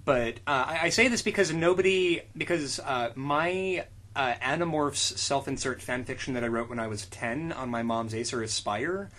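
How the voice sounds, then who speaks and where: A man's voice: mid-range voice, moderate pace, fairly bright tone. A man co-hosting a comedy podcast, joking around, laughing and often tripping over his words. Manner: giggly, stammering, playful. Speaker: podcast co-host.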